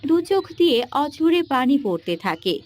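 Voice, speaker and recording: A woman with a fairly high voice narrates a cartoon moral tale from a script. Music plays softly behind her. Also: very animated, moderate pace. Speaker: story narrator.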